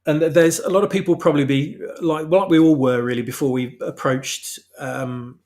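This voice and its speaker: A man's voice: on the high side, fairly even intonation, moderate pace, darkish tone. A man speaking as a guest author in a casual interview, explaining his work in an emphatic, opinionated way. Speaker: guest author of fan fiction. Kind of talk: casual interview chat about his own story.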